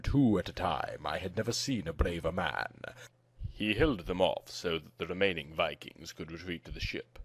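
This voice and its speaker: A man's voice: low voice, a bit bright, pitched below the performer's own voice, exaggerated voice. A man voicing a grim Viking first mate, speaking in a grave, subdued way as a battle survivor in a story.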